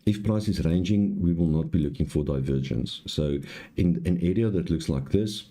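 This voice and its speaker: Very deep, raspy man teaching. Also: warm tone. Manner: halting, explanatory, repeats points. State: hoarse, clearing throat. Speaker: trading live-stream host and instructor. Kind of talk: unscripted live tutorial.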